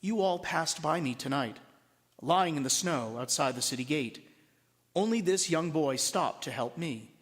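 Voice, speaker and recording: A man's voice: on the high side, lively intonation, unhurried, pitched below the performer's own voice. Man reading as stately old keeper in echoing hall. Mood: solemn, kindly. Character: solemn kindly old keeper of the flame. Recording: long reverberant hall.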